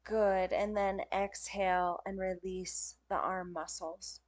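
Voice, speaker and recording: Slow-paced woman guiding meditation. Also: mid-range voice, flat delivery. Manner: gentle, unhurried, soothing instruction with pauses. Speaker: meditation teacher. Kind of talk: guided yoga nidra meditation.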